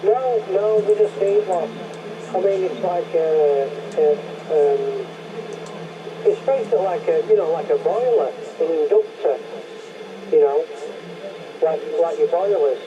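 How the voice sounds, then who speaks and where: An older Northern English man talks casually over a muffled, very noisy radio link. His voice sits a little high for a man, and he rambles emphatically, circling back and repeating his words. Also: measured pace. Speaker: radio hobbyist. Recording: muffled CB radio transmission with loud noise.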